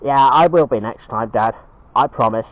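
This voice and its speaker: A man voicing a young male bear son in a homemade cartoon, speaking in a halting, earnest way with repeated words. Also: medium pitch, no echo, dull audio, close to an everyday voice. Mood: eager. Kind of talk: homemade cartoon puppet-style dialogue.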